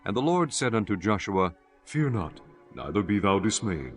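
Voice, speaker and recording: A man reading scripture as the narrator of a dramatized audiobook, in a solemn, measured manner. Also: on the low side, big pitch swings. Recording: music behind the voice.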